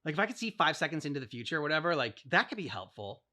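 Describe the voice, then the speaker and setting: A man chatting casually as co-host of a reaction channel, thinking out loud in a rambling way peppered with "like". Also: a little high, sing-song, rapid-fire. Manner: rambling, speculative, heavy use of "like". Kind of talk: casual chat, reacting to game cutscenes.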